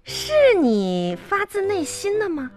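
A woman's voice: high voice, big pitch swings, unhurried. A woman playing a touchy, coquettish middle-aged wife in a comedy radio drama, scolding and cutesy. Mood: bossy. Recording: music behind the voice. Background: background music.

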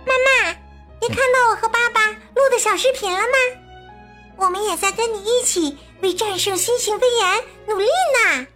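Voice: very high voice, moderate pace, sharp tone, faint music behind. A performer playing an earnest, sweet, curious young child in a children's radio drama. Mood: eager, loving.

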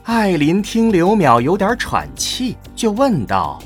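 Guy narrating an audio drama, scripted, super lively pitch, bit of music behind. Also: slow.

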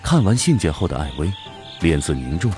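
A deep-voiced storyteller, solemn but with expressive pitch movement. He narrates a fantasy radio drama over faint music. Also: brisk. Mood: storytelling.

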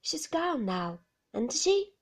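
A woman puts on a secretive, simple-minded old man whose rustic grammar keeps slipping. His voice is breathy, fairly high and slow, leaning in close with a pleading edge. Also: expressive, darkish tone, exaggerated voice. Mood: fearful. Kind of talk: whispered audiobook reading, voiced aloud for the figure.

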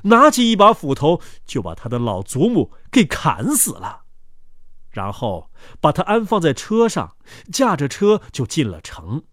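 A male storyteller reads a children's fairy tale with great drama. His voice sits high for a man and moves widely in pitch, in a dry, close recording. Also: huge pitch swings, a little slow, fairly bright tone, no room sound.

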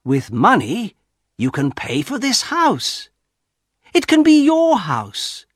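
A man's voice: high-pitched, slow pace, breathy edge, dry recording, clean studio sound, voice pushed up, full character voice. A man reading a story aloud and voicing an eager, teasing young man urging his father on. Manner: loud, teasing and excited.

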